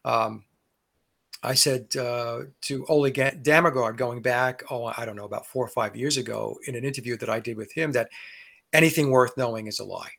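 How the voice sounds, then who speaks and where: A man as a guest in a casual interview over a video call, speaking haltingly with many fillers and restarts. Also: mid-range voice, creaky, fairly bright tone.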